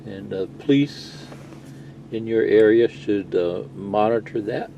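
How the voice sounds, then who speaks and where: Mellow middle-aged man, casual product walkthrough. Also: on the low side, slow pace, creaky, a little hiss or hum, poor audio. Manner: rambling, explanatory, plain-spoken. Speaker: CB radio video presenter.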